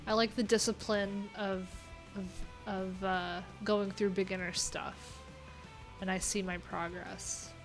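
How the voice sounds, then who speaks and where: A woman chats loosely to her stream audience, drifting from topic to topic and restarting her sentences. Her voice sits at an ordinary middle pitch. Faint music plays softly behind her. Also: flat delivery, slow. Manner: chatty, rambling, many fillers and restarts. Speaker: live coding streamer. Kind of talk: casual livestream chat.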